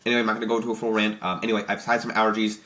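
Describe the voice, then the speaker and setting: A young man with a stuffy, slightly nasal voice from allergies, rambling excitedly through a casual review with many restarts. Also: on the low side, rapid-fire. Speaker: YouTube reviewer.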